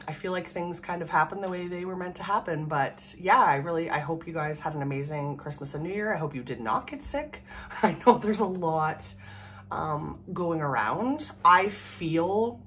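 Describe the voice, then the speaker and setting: A woman in her thirties from Canada, hoarse from a cold, chatting casually and rambling to the camera at home. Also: lowish voice, normal speed, fairly bright tone, slight background noise. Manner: rambling, chatty, laughs often. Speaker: vlogger. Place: small room at home, indoors. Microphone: camera in front at desk distance.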